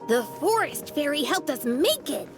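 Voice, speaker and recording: A woman voicing a small, sweet, innocent young dragon in an animated game, with a childlike voice. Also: sits high, normal speed. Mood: cheerful, curious. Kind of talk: animated game character acting. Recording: music behind the voice.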